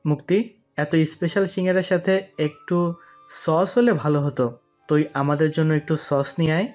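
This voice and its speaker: A man's voice: a little high, fairly even intonation, normal speed, darkish tone, faint music behind, close to an everyday voice. A man playing a sly, teasing young man in an audio romance story. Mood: sly, polite. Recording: dull, muffled sound.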